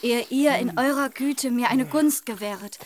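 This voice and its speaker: A woman's voice: high-pitched, normal speed. An actress playing a young woman posing as a noble princess in a radio drama, formal, florid and imploring. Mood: imploring, lofty.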